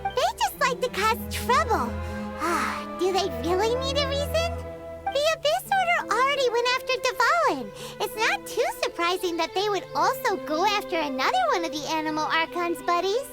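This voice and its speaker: A tiny floating sprite girl with a squeaky, childlike voice that leaps wildly up and down. She is chatty, excitable and teasing. Loud game music plays behind her. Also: moderate pace. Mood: chirpy. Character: tiny chirpy floating female companion sprite.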